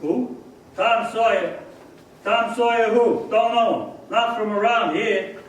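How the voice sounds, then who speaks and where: A man's voice: high voice, slow pace, recorded from a distance. A man voicing a plainspoken, easily spooked bridge keeper in a radio drama, folksy and abrupt. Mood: baffled. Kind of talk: live old-time radio drama acting. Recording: echoey, noisy theater stage from afar.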